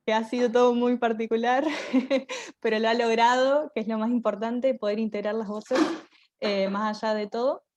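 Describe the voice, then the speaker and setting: A woman's voice: fairly high pitch, a little mellow. A woman gives conversational panel answers, reflective and often rephrasing as she explains. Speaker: theatre group member. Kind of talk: conversational panel answers about creative work.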